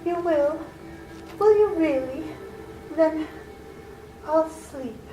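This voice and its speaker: A woman playing a frightened, fragile young woman in a live radio play, speaking slowly and fearfully. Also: sits high. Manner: slow, hushed and fearful. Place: library hall with live audience. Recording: echoey hall with faint music.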